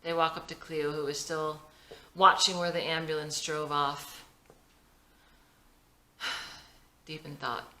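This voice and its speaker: Female script reader for screenplay narration, lowish and bright, lively intonation, echoey room. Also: slow pace, pauses often. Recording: roomy, echoey sound.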